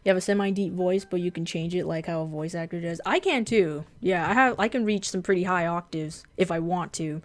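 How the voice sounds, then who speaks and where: Laid-back deep-voiced woman chatting. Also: brisk, hardly any pauses. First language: Japanese. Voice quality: fairly deep voice. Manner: laid-back, blunt, swears freely. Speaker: streamer and artist. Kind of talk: casual livestream chat while drawing.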